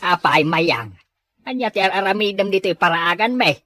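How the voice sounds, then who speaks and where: A woman's voice: mid-range voice, animated, normal speed, a bit bright, no room sound. Woman doing curt, grumbling Ilocano village man. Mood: unimpressed. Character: curt village man, an unimpressed older neighbour. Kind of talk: Filipino audio drama dialogue.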